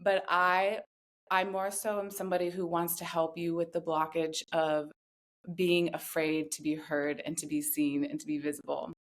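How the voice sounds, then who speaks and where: A woman in her thirties speaking as a podcast guest, telling her story in a reflective, earnest way with measured, deliberate phrasing. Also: sits low, level tone. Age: 30s. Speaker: podcast guest, somatic voice guide. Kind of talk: reflective personal interview talk.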